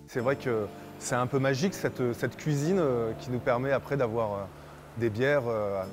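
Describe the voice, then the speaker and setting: A man's voice: mid-range voice, normal speed. A man in his thirties, a brewer, explaining a process step by step for an interview. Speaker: brewer, co-manager. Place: indoors in a brewery hall. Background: music.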